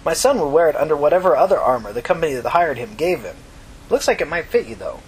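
A man's voice: fairly high pitch, very animated, fast talker, husky, heavily acted, poor audio. A man voicing a kindly, talkative old mother from New York in a story reading, folksy, reflective and reassuring. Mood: warm, motherly. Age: older.

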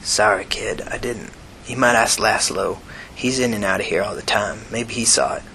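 A male reader performs a frail, sickly man from an audiobook story with a soft, folksy Southern drawl. He mumbles low and unhurriedly, tired and resigned. A faint noise sits behind the voice. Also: moderate pace, gravelly. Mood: helpful, tired. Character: sickly thin weary man, slow and resigned.

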